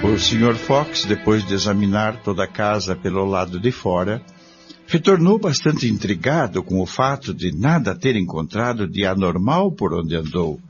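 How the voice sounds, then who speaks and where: Animated, low-voiced male radio narrator. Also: normal speed. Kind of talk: scripted dramatic narration of a radio serial. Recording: dull recording with music.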